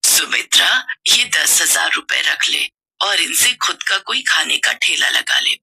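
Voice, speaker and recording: A woman voicing a gentle, caring old mother-in-law who speaks softly in a cartoon story. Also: lowish voice, animated, unhurried, gravelly, breathy edge, voice pushed down, heavily acted. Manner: soft, motherly advice.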